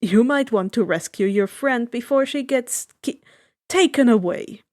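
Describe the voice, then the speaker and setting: Woman voicing mild, soft-spoken husband. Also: on the high side, unhurried, no room sound, studio quality, lightly acted. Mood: calm. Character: soft-spoken, calm middle-aged husband.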